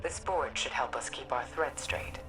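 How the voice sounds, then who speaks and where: A woman playing a commanding, secretive spymaster in a video game, grave, deliberate and formal. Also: extremely low pitch, recorded from a distance, music in the background. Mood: grave, resolute. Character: commanding, secretive female spymaster briefing her agents.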